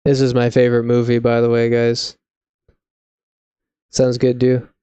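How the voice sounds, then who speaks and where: Chill streamer voice, hard to tell man or woman, pretty monotone, roomy echo, faint music behind. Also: mid-range voice, a little slow, clean tone. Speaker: video game live streamer. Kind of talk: casual live stream chat and commentary.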